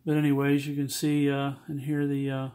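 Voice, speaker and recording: A man giving an informal, halting, matter-of-fact product demonstration at an indoor workbench. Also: medium pitch, monotone. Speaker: seller demonstrating a CB radio. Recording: loud radio static, noisy amateur recording. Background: CB radio receiver noise.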